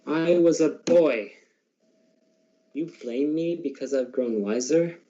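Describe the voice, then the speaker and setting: Low, defensive young businessman, acted by a man. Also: slow pace, dark tone. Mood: defensive, hurt. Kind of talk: amateur radio drama acting. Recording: dull, lo-fi amateur recording.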